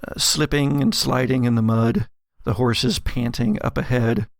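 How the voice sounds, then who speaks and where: Guy running a story game, takes his time, gravelly fry in the voice, really dry close sound. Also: medium pitch, steady tone, unhurried, dry recording. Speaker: game master and host. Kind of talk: narrating an actual-play audio drama, storytelling.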